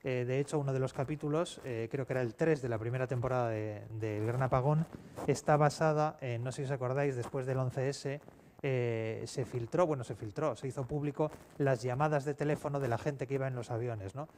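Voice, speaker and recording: A man with an accent from Spain speaking unscripted on a panel in a halting, self-correcting way, as an audio fiction writer. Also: mid-range voice, darkish tone. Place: public round-table event. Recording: clear background noise, live event ambience.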